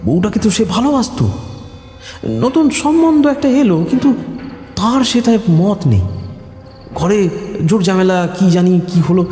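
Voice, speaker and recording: Rustic young husband in audio horror drama, hesitant and folksy, very lively intonation, music underneath. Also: male voice, high voice. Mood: hushed, uneasy storytelling. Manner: hesitant, halting, folksy storytelling with fillers. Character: rustic young husband nervously recounting village ghost tales. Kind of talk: Bengali audio horror story acting. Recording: echoey reverb with music underneath.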